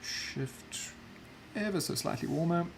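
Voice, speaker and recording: A man's voice: on the high side, takes it slowly, gravelly, a little hiss or hum. A South African man chatting casually while livestreaming a digital painting, muttering self-deprecating remarks to himself as he works.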